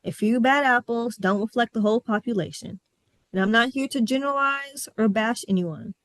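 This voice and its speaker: A woman's voice: fairly high pitch, normal speed, dry recording. Candid sassy woman podcast host. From Midwest US. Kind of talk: casual podcast chat.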